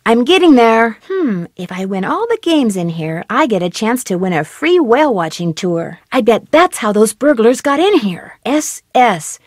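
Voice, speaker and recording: Bright young girl detective in a game, high voice that jumps all over, dry close sound. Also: big pitch swings, no room sound. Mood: curious. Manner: chirpy self-talk, quick remarks to herself. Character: young female detective, curious and upbeat. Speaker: game protagonist. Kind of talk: video game voice lines.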